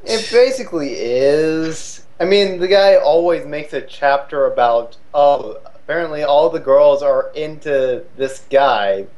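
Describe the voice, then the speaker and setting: A man's voice: a little high, expressive, unhurried, few pauses, a little mellow. A young man giving casual fan commentary, hesitant and searching for words, on a lo-fi call. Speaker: co-host commentator.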